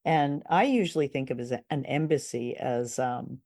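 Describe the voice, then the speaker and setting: A woman's voice: extremely low pitch, moderate pace, creaky, warm tone. Wry woman co-hosting a podcast. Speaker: podcast co-host. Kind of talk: casual chat.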